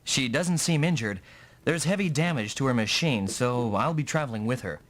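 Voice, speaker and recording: A man playing an earnest, excitable young mech pilot in a dubbed video game. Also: medium pitch, moderate pace, background noise, close to an everyday voice. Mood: worried, determined. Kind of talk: anime-style video game dub acting. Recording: loud game music behind. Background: loud music.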